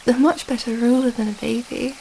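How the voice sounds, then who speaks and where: A woman plays a young princess with a light, fairly high voice that stays soft and mellow. She talks intimately, as in an ASMR romance audio, affectionate and earnest, rambling a little hesitantly. Faint ambient noise sits behind her. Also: steady tone, brisk, vocal fry, poor audio. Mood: reassuring, thoughtful.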